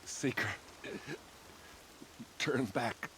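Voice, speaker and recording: An actor playing a weary, grave warrior in a video game, speaking in a halting, solemn way. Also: male voice, mid-range voice, fairly bright tone, slight background noise. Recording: faint rainy game ambience.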